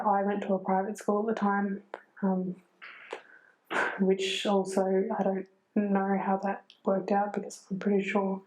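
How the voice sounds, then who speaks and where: A woman's voice: medium pitch, dark tone, poor audio. A young Australian woman candidly recalling a hard time, hesitant and full of fillers. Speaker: speaker in a community film. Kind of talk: candid personal recollection.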